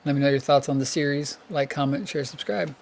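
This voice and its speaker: A hoarse man who is losing his voice, hosting a casual collector vlog, rambling and correcting himself as he thinks aloud. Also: mid-range voice, steady tone, a little fast, darkish tone, slight background noise. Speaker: VHS collector channel host.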